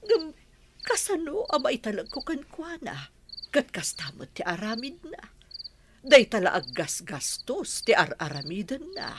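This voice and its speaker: A woman acting a worried, exasperated lady of the house in a radio drama, with an expressive manner. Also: on the high side, unhurried. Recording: clearly noisy background.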